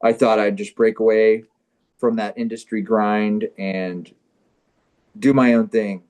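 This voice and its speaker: A man performing on a comedy show, bantering casually and reading through a script in a mock-serious, deadpan way. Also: lowish voice, measured pace.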